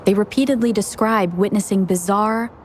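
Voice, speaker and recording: An actress voicing a calm young female companion who reasons matter-of-factly. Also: mid-range voice, moderate pace, slight background noise, natural voice.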